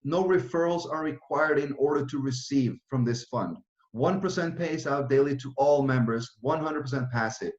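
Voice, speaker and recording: Man in his thirties, roomy lo-fi sound. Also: on the high side. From Norway. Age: 30s. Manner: fast, run-on, with frequent restarts and fillers. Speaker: project founder presenting. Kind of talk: online presentation with Q and A.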